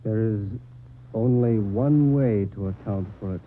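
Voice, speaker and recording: An actor playing an earnest believer in the supernatural in an old-time radio drama, explaining things deliberately. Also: male voice, lowish voice, close to an everyday voice. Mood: grave, knowing. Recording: muffled tinny old radio recording, faint noise.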